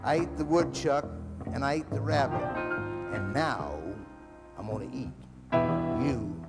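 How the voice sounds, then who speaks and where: Man voicing a deep bear, big and greedy, slow menacing brag, storybook, guitar music behind. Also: expressive. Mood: menacing boast. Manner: slow menacing bragging drawl. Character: huge greedy boastful male bear.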